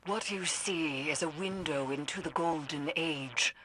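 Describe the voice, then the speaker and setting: A woman playing a solemn, certain scholar-commander in a video game cutscene, speaking in grave, measured declarations. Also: deep voice, noisy background. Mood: urgent, grave.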